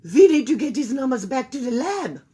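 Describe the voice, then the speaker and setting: A woman's voice: sits high, big pitch swings, normal speed, mellow, pitched above the performer's own voice. A woman voicing a pompous doctor in a storybook, speaking with emphatic authority. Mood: brisk. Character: pompous doctor, grave expert announcing theories.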